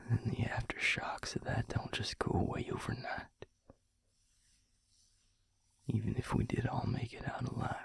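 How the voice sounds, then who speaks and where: A man playing a tender, weary vampire lover in an intimate audio roleplay, speaking haltingly in a hushed, breathy, gravelly voice. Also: medium pitch, level tone, exaggerated voice. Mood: gentle, concerned. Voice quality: breathy, husky, gravelly half-whisper. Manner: hushed, halting, with long pauses.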